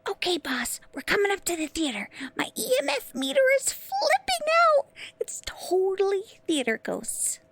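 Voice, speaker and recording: An actress playing a smart, sassy, teasing tech pixie sidekick in an audio drama. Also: female voice, very high voice, huge pitch swings, normal speed, hardly any pauses, full character voice. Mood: alert, excited. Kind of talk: scripted audio drama acting.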